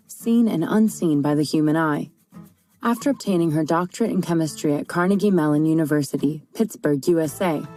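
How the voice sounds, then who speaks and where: Warm-toned woman reading host intro. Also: low voice. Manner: even, read-aloud delivery. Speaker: podcast host. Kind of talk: scripted introduction.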